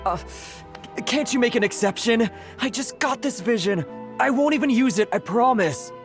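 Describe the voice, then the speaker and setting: Earnest townsman, very expressive. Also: very high voice, moderate pace, music in the background. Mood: pleading, resigned. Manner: earnest, polite. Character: earnest, meek male townsman. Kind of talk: animated video game voice acting.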